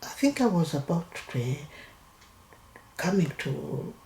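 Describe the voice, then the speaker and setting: Older Ugandan woman, low gravelly voice, hesitant, slow, recorded in an echoey room; oral history interviewee. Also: very animated, darkish tone, slight background noise. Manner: hesitant, halting, with many restarts.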